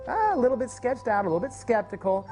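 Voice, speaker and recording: A man tells his story openly, his voice rising and falling with lively movement. Music sits softly in the background. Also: extremely high pitch, quick pace. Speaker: testimonial speaker. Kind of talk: personal testimonial. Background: faint background music.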